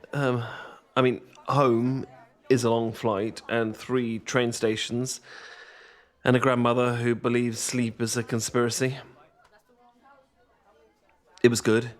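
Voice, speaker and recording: A man's voice: medium pitch, close to an everyday voice. A man playing an awkward, smitten British student. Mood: fond, sheepish. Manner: hesitant, stammering, self-deprecating, nervous chuckles. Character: shy, awkward young male student, secretly smitten best mate. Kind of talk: ASMR boyfriend-style audio roleplay, casual monologue.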